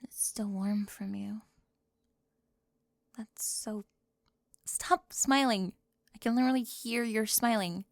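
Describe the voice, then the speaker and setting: A young woman voicing a sharp-tongued cheerleader bully for an ASMR roleplay, sarcastic and defensive, often dropping to a whisper close to the mic. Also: medium pitch, slow pace, vocal fry, pauses often, dry recording.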